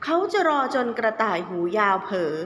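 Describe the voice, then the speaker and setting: Woman storyteller for young children, very lively intonation, drawn-out emphasis, echoey room, faint noise. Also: medium pitch. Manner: expressive, drawn-out emphasis on key words. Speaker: kindergarten teacher telling stories.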